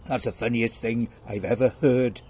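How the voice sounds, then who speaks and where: Man doing a husky, imperious storybook lion. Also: fairly even intonation, moderate pace, a little mellow, close to an everyday voice. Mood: amused, roaring. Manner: imperious, booming, teasing. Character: huge male lion, imperious but amused. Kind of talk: storybook fable character voice.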